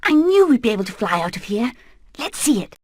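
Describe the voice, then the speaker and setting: Plucky high-voiced British hen. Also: female voice, big pitch swings. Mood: rallying, determined. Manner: brisk, earnest, rallying. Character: determined, plucky female hen leading an escape plan. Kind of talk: animated video game voice acting.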